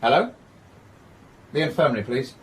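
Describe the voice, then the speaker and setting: Casting: man as well-bred young gentleman, radio drama, cool logic, brisk assurance, animated pitch. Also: a little hiss or hum, lightly acted, low-quality recording. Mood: businesslike. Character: confident, logical young upper-class gentleman, cool sceptic. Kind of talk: period stage-play radio drama acting.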